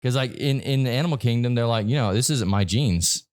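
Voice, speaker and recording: A man's voice: mid-range voice, no room sound, studio quality. A young man hosting a casual podcast chat in a bar, blunt and often profane. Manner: blunt, profane, rapid talk. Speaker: podcast host.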